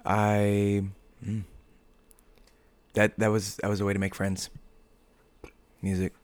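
A man's voice: on the low side, completely flat delivery, takes it slowly, no echo. An American man talking casually as a podcast guest, with informal, laid-back phrasing. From United States. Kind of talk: casual conversational interview.

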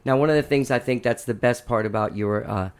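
A hoarse man hosts a casual talk show, chatting in a loose, rambling way with plenty of "uh"s and restarts. His voice sits in an ordinary middle pitch. The recording is dry, with little room sound. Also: brisk, no echo. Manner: rambling, enthusiastic, with many fillers and restarts. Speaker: show host.

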